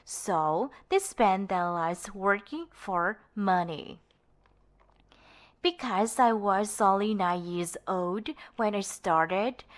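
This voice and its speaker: Female amateur reader for book narration: unhurried, deliberate, mid-pitched, pronouncing each word with care. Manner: careful, deliberate pronunciation practice. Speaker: amateur reader on own channel. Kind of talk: reading a book aloud.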